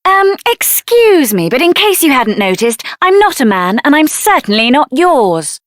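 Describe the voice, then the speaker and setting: High voiced young fantasy game heroine, spirited n stubborn, earnest and expressive, really dry studio sound. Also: female voice, measured pace, dry recording, exaggerated voice. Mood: annoyed, sassy. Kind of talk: video game character voice acting.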